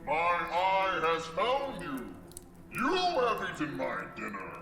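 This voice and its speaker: Hungry storybook giant: very slow, booming, swinging delivery in a cavernous echo. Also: wildly swooping pitch, heavily acted. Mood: menacing, hungry. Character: hungry man-eating giant, master of a palace. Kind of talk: audio drama acting. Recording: very echoey hall reverb, music behind.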